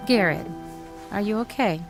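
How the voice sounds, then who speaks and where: A woman plays a supportive, gently teasing mother in an audio drama. Her voice sits at a middle pitch with animated, reassuring inflection, over faint music. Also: moderate pace, natural voice.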